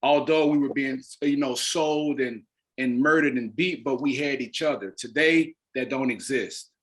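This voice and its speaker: A man speaking as an interview guest, explaining his ideas earnestly and deliberately, leaning on key words. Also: mid-range voice, fairly bright tone. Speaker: interview guest, playwright and actor.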